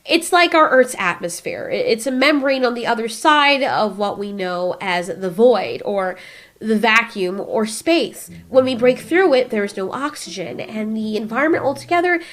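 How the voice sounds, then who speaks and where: A woman voicing a nervous, formal female kaiju spokesperson giving a speech in an emphatic, deliberate way. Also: a little high, expressive, fairly bright tone. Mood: explaining.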